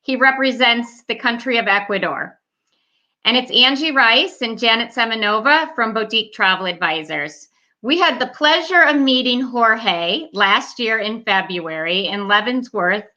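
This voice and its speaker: A woman's voice: fairly high pitch, animated, measured pace, sharp tone, lo-fi sound. A woman host introducing a guest in a chatty, friendly way over a video call. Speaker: host, travel advisor.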